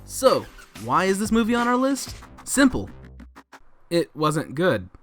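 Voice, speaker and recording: A high-voiced male co-host narrates a comedic movie countdown. His delivery is very animated and mock-dramatic, with emphatic announcements over a light music bed. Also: slow.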